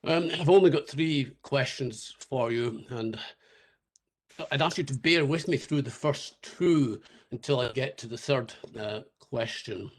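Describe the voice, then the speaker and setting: A man questioning a witness at a public inquiry in a polite, deliberate way. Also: medium pitch. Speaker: advocate cross-examining. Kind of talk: cross-examination.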